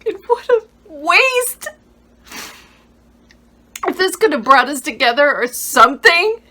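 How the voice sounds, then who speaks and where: Woman acting raw, wounded woman, bright-voiced and furious. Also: mid-range voice, moderate pace, breathy edge. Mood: anguished, furious. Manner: emotionally raw, emphatic. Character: wounded, furious woman confronting someone who failed her. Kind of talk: dramatic monologue acting reel.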